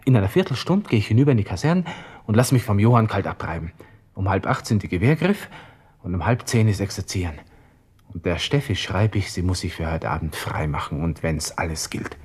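Husky low-voiced young viennese army officer, hot-headed and self-absorbed, nervy muttering to himself, radio play. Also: male voice, lively intonation, brisk, darkish tone. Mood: giddy. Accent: Viennese Austrian. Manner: agitated muttering to himself, switching to feigned casualness. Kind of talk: radio drama interior monologue.

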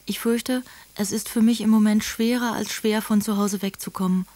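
An actress playing a gentle, devoted aristocratic sister and young mother, speaking letters politely and effusively in a German radio play. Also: mid-range voice, fairly even intonation, normal speed, no room sound, lightly acted. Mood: affectionate, apologetic.

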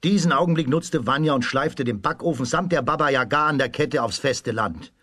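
A man with a husky voice narrating a children's radio fairy tale in a dramatic storytelling manner. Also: mid-range voice, fairly quick, pushed and bright, no room sound, clean studio sound.

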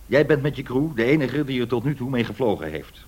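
An actor playing a brusque, commanding airline director in a radio drama, curt, authoritative and probing. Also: male voice, mid-range voice, sing-song, fairly quick, darkish tone, husky. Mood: brisk, commanding.

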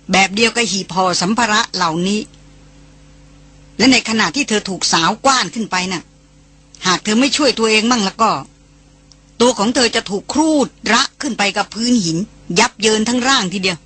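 A narrator voicing a calm, firm foreign woman who speaks low and sternly in a storybook reading. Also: moderate pace, long pauses, slightly rough. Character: blonde foreign woman, calm and firmly commanding.

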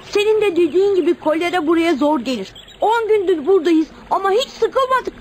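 A woman's voice: extremely high pitch, lively intonation, brisk, darkish tone, a little hiss or hum. A woman playing an innocent, devoted young boy in a Turkish radio play, earnest and childlike. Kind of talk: Turkish radio theatre acting.

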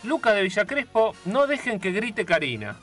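A man's voice: high voice, very animated, normal speed, a bit bright, soft background music. A man with a Buenos Aires accent hosting a radio show, chatting casually and reading out listener messages. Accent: Argentine, Buenos Aires. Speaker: radio host.

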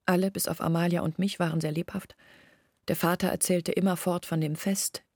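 Radio drama: bitter young villager, earnest confider, husky with some fry, measured delivery. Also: female voice, lowish voice, fairly even intonation, a little mellow, dry recording, clean studio sound. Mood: reflective, recounting. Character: embittered young village woman confiding her family's disgrace. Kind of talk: intimate literary radio drama storytelling.

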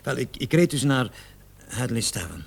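A man's voice: mid-range voice, very animated, normal speed, vocal fry, breathy edge, full character voice. An actor in a radio play, a man playing a cocky travelling salesman in his thirties, defensive and hesitant under police questioning. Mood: flippant, boastful. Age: 30s. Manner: hesitant, stammering, protesting with nervous chuckles.

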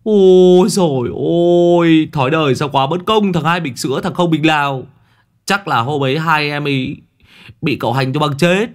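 Brief: eager sniggering sidekick, bright raised pitch, as a storyteller puts him on. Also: male voice, moderate pace, natural voice. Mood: cheeky. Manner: cheeky, sniggering. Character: goofy eager young male lackey, cheeky.

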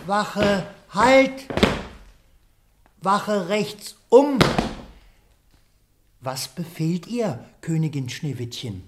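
An actor playing an obedient, fussy palace guard general in a children's radio play, officious in drill and deferential to royalty. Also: male voice, high-pitched, lively intonation, very slow, pauses often, heavy background noise, full character voice. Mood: drilling, commanding. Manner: barked drill commands, deferential hesitant replies.

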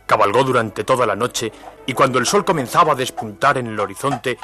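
Actor as solemn old radio serial narrator, music behind. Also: male voice, medium pitch, a little fast, close to an everyday voice. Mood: dramatic. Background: orchestral music.

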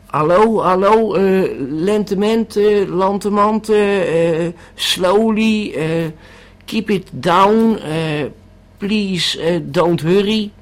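Audio drama: male actor as bewildered, panicky tourist on a bad trip, fairly high voice, halting and slow delivery with repeated words. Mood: loud pleading. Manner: stammering, hesitant, repeating words. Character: panicky, bewildered male holidaymaker tripping on a pill. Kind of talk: first-person audio drama monologue.

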